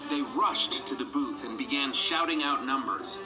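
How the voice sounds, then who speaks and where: A man narrating a children's read-along storybook in an animated, dramatic storytelling manner. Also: mid-range voice, moderate pace, rough recording. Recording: music behind the voice.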